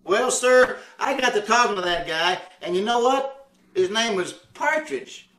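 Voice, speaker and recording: A man acts out a folksy radio-comedy braggart with a high-pitched voice. He blusters and stammers through his boasts. The sound is echoey and distant, with some noise of a video call. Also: animated, unhurried, bright, forceful tone, heavily acted. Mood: gleeful bragging. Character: folksy, boastful, bumbling husband spinning tall tales. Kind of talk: old-time radio comedy acting. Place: indoors on a live Zoom call.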